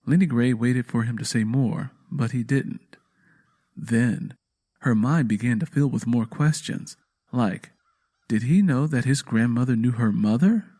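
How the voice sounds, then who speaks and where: A man's voice: medium pitch, measured pace, mellow. A man narrating a scripted audio drama in a storytelling style.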